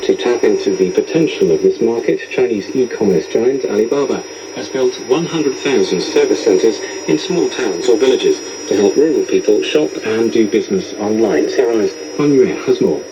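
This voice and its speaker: A man's voice: fairly low pitch, expressive, normal speed, lo-fi sound. A man reading a scripted news bulletin as a radio newsreader, heard over shortwave radio. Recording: noisy shortwave radio broadcast.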